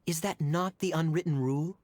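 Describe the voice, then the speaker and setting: An actor playing a suave, teasing young cavalry captain in a video game, wry and theatrically courteous. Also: male voice, fairly high pitch, fairly quick, a little mellow, slight background noise, lightly acted. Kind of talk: video game character voice acting.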